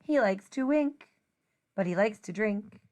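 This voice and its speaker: A woman teacher reading a rhyming children's picture book aloud in an expressive, sing-song way. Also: medium pitch, a little slow.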